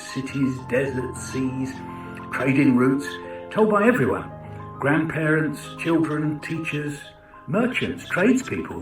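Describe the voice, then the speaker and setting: Man, informal teaching talk, chatty rhetorical questions, echoing room, distant mic. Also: medium pitch. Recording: roomy, distant mic with music.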